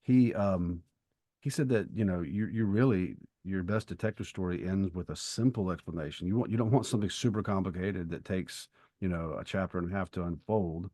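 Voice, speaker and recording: A man co-hosting a podcast, explaining ideas in a thoughtful, halting way in casual discussion. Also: deep voice, mellow, no echo, studio quality. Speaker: podcast co-host and writer.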